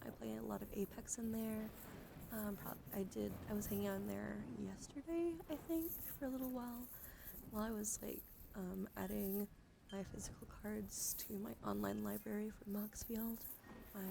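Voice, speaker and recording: A woman hosting a casual ASMR livestream, talking softly and often dropping into whispers, in a rambling, easygoing way. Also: medium pitch. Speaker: livestream host. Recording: clearly audible background noise.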